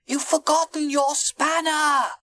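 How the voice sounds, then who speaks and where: A woman's voice: low voice, big pitch swings, unhurried, fairly bright tone, breathy edge, dry recording, pitched above the performer's own voice, heavily acted. A woman voicing a timid, polite, hesitant male character in a children's storybook. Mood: calling out.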